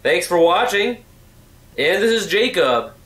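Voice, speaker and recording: A man with a high voice addresses viewers slowly, as a story reader wrapping up a scripted presentation. The recording is roomy and echoing. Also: lively intonation, fairly bright tone. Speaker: fan fiction reader, video creator.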